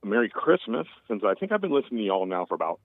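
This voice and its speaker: A man's voice: fairly low pitch, sing-song, fast, no room sound. A man in his late fifties, calling in to a show, talking in an easygoing, rambling way with many fillers. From United States. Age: 50s. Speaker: caller. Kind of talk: casual phone call. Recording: tinny phone line.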